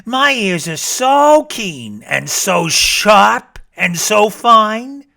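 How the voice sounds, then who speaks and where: A man puts on a little storybook rabbit, cocky and quick to snap. The voice sits high and swoops widely as it brags. Each boast lands with sharp, theatrical emphasis. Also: very animated, gravelly, full character voice. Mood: proud. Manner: bragging, snappy, emphatic. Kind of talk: theatrical children's storybook reading.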